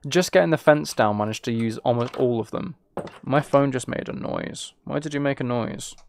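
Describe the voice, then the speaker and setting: A man's voice: mid-range voice, moderate pace, creaky. A twenty-year-old man chatting casually as he streams a game, rambling along in a joking, teasing way. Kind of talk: casual chat while playing a game.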